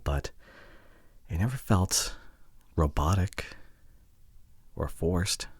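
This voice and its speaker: A man playing a hurt, introverted, awkwardly apologetic boyfriend in an ASMR roleplay audio drama, speaking haltingly and close to the microphone. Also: lowish voice, no echo, exaggerated voice. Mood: wistful, frustrated. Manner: hesitant, halting, sighing delivery with soft drops. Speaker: voice actor. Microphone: close microphone, dry.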